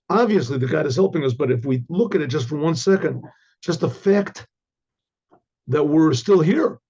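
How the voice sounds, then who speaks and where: An older hoarse man, a rabbi, giving a Torah class with halting restarts and frequent throat clearing. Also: a little high, darkish tone, dull audio.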